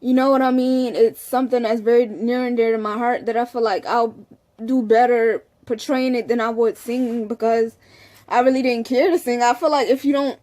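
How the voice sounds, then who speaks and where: Young woman vlogger, candid and rambling, slightly high voice. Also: fairly even intonation, normal speed, mellow. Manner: candid, rambling, fillers like "you know". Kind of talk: casual talk to camera.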